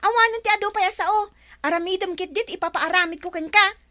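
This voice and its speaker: Haughty bossy young cousin in a radio drama, really high sharp scolding voice. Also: female voice, fast talker, no room sound. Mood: scornful, scolding.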